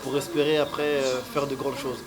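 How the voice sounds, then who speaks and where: A Congolese man answering interview questions in a polite, measured way with fillers, recorded in an outdoor restaurant. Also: mid-range voice, flat delivery, a crowd in the background, poor audio. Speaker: guest, association founder. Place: outdoor straw-hut restaurant.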